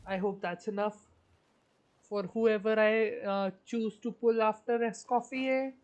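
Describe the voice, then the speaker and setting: A woman livestreams a game in a casual, unhurried voice. She thinks aloud with hesitant murmurs, at a middling pitch and a very slow pace. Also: fairly even intonation, a little mellow, muffled recording. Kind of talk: casual livestream chat while gaming.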